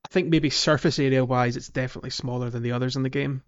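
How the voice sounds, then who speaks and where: Male podcast host, mid-pitched, halting conversational game walkthrough, somewhat lo-fi sound. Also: steady tone, smooth. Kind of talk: casual video game walkthrough chat.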